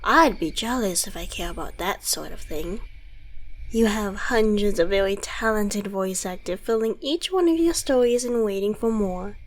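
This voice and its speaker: A woman's voice: mid-range voice, moderate pace, natural voice, rough recording. A woman playing an ancient devil in a scripted ASMR roleplay, theatrical, articulate and condescending, mockingly friendly with menace underneath. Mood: smug, mocking. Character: suave, gloating devil, centuries old, mockingly friendly, menacing.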